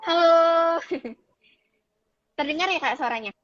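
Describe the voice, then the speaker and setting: A woman's voice: high voice, lively intonation, slow, a bit bright, highs cut off, faint music behind. A woman hosting a live online talk, chatty and mixing formal greetings with casual phrasing. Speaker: host.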